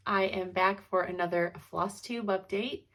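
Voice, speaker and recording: A woman's voice: medium pitch, steady tone, unhurried, fairly bright tone. A stuffed-up woman in casual, rambling chat about her stitching projects, chatty and apologetic. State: congested, stuffed up. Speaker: cross-stitch vlogger.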